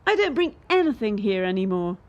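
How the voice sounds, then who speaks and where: A woman's voice: medium pitch, big pitch swings, brisk, dull audio, natural voice. A woman acting in a radio play as a bitter, defiant young elite gymnast under pressure. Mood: bitter, wary.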